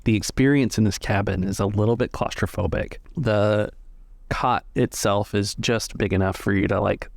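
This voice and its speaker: A man's voice: lowish voice, gravelly, darkish tone, no echo, studio quality. A man acting as game master, describing scenes conversationally in casual tabletop game narration.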